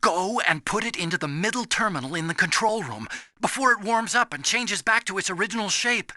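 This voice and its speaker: A nerdy young American engineer in a video game. His voice is high and very bright, swinging widely in pitch as he explains things with earnest, anxious emphasis. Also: animated, brisk, dry recording, clean studio sound, heavily acted. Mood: urgent instructing. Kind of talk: dramatic video game voice acting.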